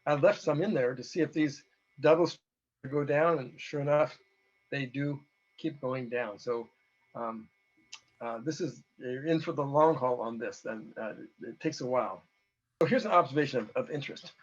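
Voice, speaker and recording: A man with a hoarse voice gives an informal, halting technical presentation, explaining data as a researcher. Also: medium pitch. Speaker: presenter, researcher giving a talk to beekeepers. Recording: dull, lo-fi audio.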